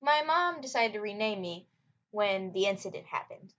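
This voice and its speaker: A woman's voice: medium pitch, lively intonation, moderate pace, fairly bright tone, close to an everyday voice. A grumpy, scarred young female nightwing dragon, voiced by a woman for a read-aloud storybook. She speaks bluntly and keeps her guard up. The recording is dull and lo-fi.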